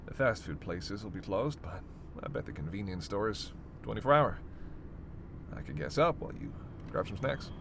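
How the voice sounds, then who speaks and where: A man's voice: fairly low pitch, sing-song, normal speed. Man acting out a snarky, flirtatious vampire, vehicle noise behind. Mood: playful, bantering. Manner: sardonic, flirtatious teasing with sighs and cursing. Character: snarky, teasing captive male vampire on a road trip. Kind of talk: roleplay audio drama, one-sided dialogue.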